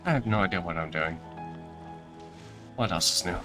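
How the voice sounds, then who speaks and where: A man's voice: deep voice, expressive, moderate pace. A man giving casual live commentary as he plays a game, muttering to himself in a self-deprecating way. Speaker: game streamer. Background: video game music.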